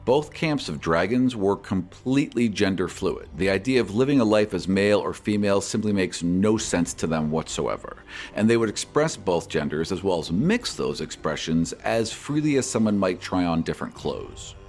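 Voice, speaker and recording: Male podcast host, fairly low and very expressive, reading mailbag questions and prepared explanations over soft background music. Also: moderate pace, few pauses. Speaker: podcast host and game master answering fan questions. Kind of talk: reading fan questions and scripted answers.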